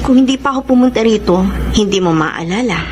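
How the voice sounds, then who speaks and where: A woman's voice: medium pitch, animated, fast talker, background noise, lightly acted. An actress with a Filipino accent playing a hurt, devoted young girlfriend in an emotional radio drama. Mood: reproachful.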